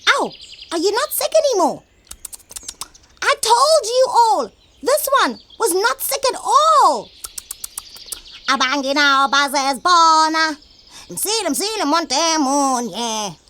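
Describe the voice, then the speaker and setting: A woman with a South African accent voicing a bossy, excitable little animal messenger in children's audio theatre, with birds chirping behind. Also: very high-pitched, slow, long pauses, a little hiss or hum, full character voice. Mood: scornful gloating.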